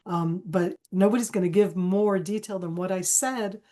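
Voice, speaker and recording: A woman from Israel who has a cold, talking as a panel guest at an ordinary pitch. She is emphatic, repeats key phrases for weight, and restarts her sentences often. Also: normal speed, dark tone. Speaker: guest speaker on a webinar panel. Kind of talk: conversational analysis in an online Q&A.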